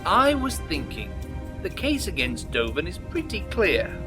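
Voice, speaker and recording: An actor playing a disgraced starship officer in an audio drama, slyly persuasive, polite and insinuating. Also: male voice, sits high, huge pitch swings, unhurried, over music, full character voice. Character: smooth-talking, scheming male disgraced officer, slyly persuasive. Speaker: starship officer, former captain. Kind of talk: science fiction audio drama acting.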